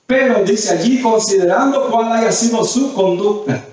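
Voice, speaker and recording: Male preacher, high-pitched voice, didactic sermon delivery with repeated phrases; very reverberant, distant-miked sound. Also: moderate pace, darkish tone. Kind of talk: sermon with scripture readings and questions to the congregation. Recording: very echoey hall, distant mic.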